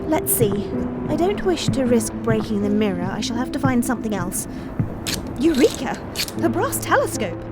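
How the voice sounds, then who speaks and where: Prim young regency lady, high lively voice, wordy and indignant, audio drama w music behind. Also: normal speed. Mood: jittery, determined. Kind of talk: full-cast audio drama acting.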